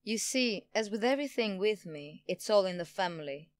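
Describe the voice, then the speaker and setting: A woman's voice: mid-range voice, lively intonation, normal speed, lightly acted. A woman playing a smug, power-hungry politician in an audio drama, pompous and theatrical in manner. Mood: smug, explaining. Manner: theatrical, pompous, self-satisfied. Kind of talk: audio drama villain acting.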